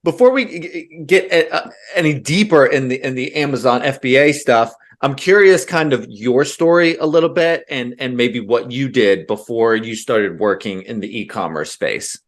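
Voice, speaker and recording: Man, podcast host, mid-pitched voice, easygoing, stammers into questions. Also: moderate pace, few pauses. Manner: easygoing, affirming interviewer with stammered starts. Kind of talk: casual podcast interview.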